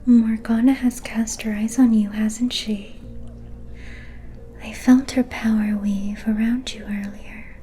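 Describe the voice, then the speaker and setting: A woman playing an enigmatic, all-knowing vampire seer in a whispered ASMR roleplay, calm and ominous, speaking in cryptic, deliberate phrases with long pauses. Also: fairly high pitch, slight background noise. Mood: cryptic warning. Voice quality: breathy, unvoiced whisper.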